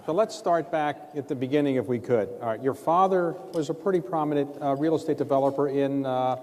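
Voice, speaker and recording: A man's voice: mid-range voice, a little fast, a little mellow. A man interviewing a guest on stage, pressing with pointed follow-up questions. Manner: probing, asks follow-up questions. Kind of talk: onstage interview. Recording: long hall reverb.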